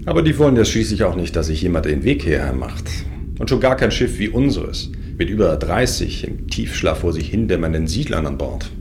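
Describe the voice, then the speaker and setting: A man's voice: sits low, sing-song, a little fast, a little mellow, a little hiss or hum. A man playing the demanding, driven leader of a settler mission in a sci-fi radio play. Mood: dry, determined. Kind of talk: sci-fi radio drama dialogue.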